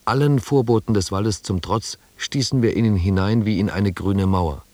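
An actor as a sober narrator recounting an expedition in a radio drama. His voice is low, in a dry studio sound. The delivery is literary, measured and descriptive. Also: a little mellow, dry recording, close to an everyday voice.

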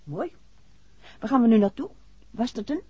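An actress playing a detective's sharp, inquisitive wife, playful and spirited, in 1960s radio drama style. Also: female voice, a little high, big pitch swings, normal speed, husky, no echo. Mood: playful, curious. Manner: curious, spirited. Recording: dull, noisy 1960s radio recording.

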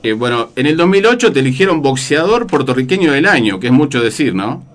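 Argentine radio host, halting questions. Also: male voice, mid-range voice, very animated. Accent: Argentine Spanish. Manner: hesitant, many fillers, leading questions. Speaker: radio boxing show host. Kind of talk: radio interview.

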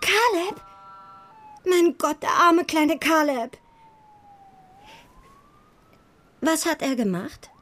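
A woman's voice: high voice, animated, slow, fairly bright tone, heavily acted. An actress playing a concerned, inquisitive teenage girl from a street gang in a crime radio drama. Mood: shocked, concerned.

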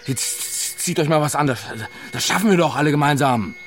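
An actor playing a bossy, coaxing husband in a German radio drama, halting and repetitive as he urgently smooths things over. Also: fairly high pitch, lightly acted. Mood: pleading, coaxing.

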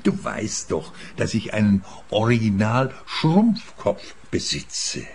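A man's voice: on the low side, wildly swooping pitch, takes it slowly, gravelly, slight background noise, heavily acted. An actor playing an older, self-satisfied father in a radio play, defensive and dismissive as he talks. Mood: defensive, sardonic. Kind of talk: radio comedy-crime acting.

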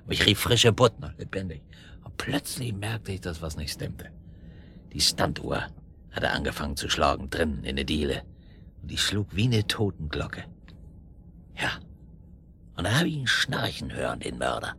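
Very deep, gravelly, superstitious fishmonger. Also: male voice, big pitch swings, normal speed, long pauses, a bit bright, a little hiss or hum, exaggerated voice. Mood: ominous. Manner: ominous, repeating words for emphasis. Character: eerie, superstitious island fishmonger, ominous and insistent. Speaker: actor in a radio drama. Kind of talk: German radio drama acting.

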